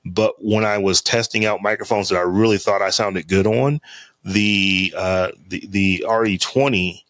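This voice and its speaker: A man with a deep, lowish voice chats casually on his podcast, rambling and circling back with fillers as he goes. He talks close to the mic, and the sound is dry and free of room echo. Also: steady tone, unhurried, a bit bright. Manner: rambling, loose talk with many fillers and restarts. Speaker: podcast co-host. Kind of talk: casual chat. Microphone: close studio mic on a podcast interface.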